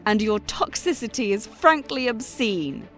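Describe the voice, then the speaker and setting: A woman's voice: a little high, animated, faint music behind. Woman acting as a firm, reproachful conscience figure. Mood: scornful, triumphant. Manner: insistent, reproachful, measured. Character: firm, moralising female character confronting her author. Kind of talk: rhyming scripted audio drama.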